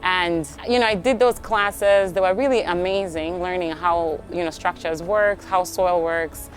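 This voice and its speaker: A young woman speaks in a lower register, reflective and chatty, with "like" scattered through her answers. She is recorded outdoors at a tree nursery, with faint music in the background. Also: steady tone, measured pace, poor audio. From Uganda. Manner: reflective, chatty, often says "like". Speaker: guest, tree program coordinator. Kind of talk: casual interview answers.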